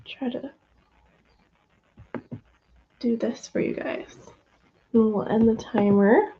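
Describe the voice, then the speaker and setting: A woman hosting a casual reading livestream from home, her voice worn from a cold, chatty and relaxed with many ums and soft laughs. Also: on the high side, unhurried, slightly rough. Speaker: livestream host of reading sprints. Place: at home, room with her cat. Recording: dull lo-fi stream audio.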